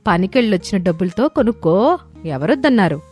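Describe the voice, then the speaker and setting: A woman's voice: mid-range voice, big pitch swings, brisk, darkish tone, soft background music, natural voice. Nagging, irritated village wife voiced by a woman. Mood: sarcastic. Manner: nagging, sarcastic. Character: irritated scolding village wife of a lazy man. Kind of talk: storybook character voice.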